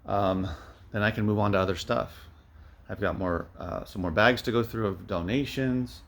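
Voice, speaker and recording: A man with a fairly low voice talks through a casual vlog update. He rambles in an unscripted way, thinking aloud as he goes, like a small-time reseller filling viewers in. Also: normal speed, vocal fry. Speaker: vlogger, online media reseller.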